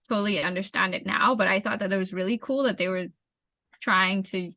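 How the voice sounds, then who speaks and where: A young adult woman from the Bahamas, an urban planner, giving measured, explanatory answers in an interview and repeating words as she thinks. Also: medium pitch, steady tone, pushed and bright, dry recording, studio quality.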